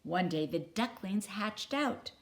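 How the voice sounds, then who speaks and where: A woman's voice: medium pitch, animated, slow. A woman from the United States reading a children's picture book aloud as a storyteller. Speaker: storybook reader.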